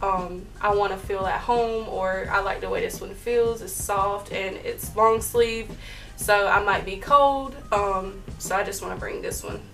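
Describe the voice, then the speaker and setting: Young woman, heavily pregnant, soft chatty vlog talk, mid-pitched, a bit off-mic with faint music. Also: measured pace, recorded from a distance. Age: young adult. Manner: soft-spoken, chatty, with light laughs and fillers. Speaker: vlog co-host. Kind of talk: casual chat. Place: bedroom, indoors.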